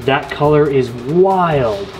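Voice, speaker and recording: A man's voice: mid-range voice, lively intonation, slow. Hyped American man vlogging over music. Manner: hyped, slangy. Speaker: car vlogger. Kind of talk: casual excited vlogging. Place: car park and paint shop. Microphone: handheld camera at arm's length.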